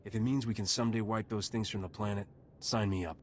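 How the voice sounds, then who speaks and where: Man playing a low-voiced, terse tough game hero. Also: steady tone, quick pace, a little hiss or hum, close to an everyday voice. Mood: cool, resolute. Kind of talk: video game voice acting.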